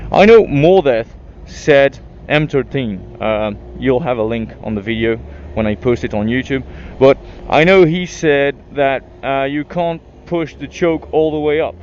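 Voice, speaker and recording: A young Frenchman with a French accent chatting casually while riding his motorcycle through city traffic, halting and full of restarts. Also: mid-range voice, a little mellow. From France. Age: young adult. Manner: halting, many restarts and fillers, swears at traffic. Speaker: motorcycle vlogger. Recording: dull lo-fi helmet mic with faint traffic noise.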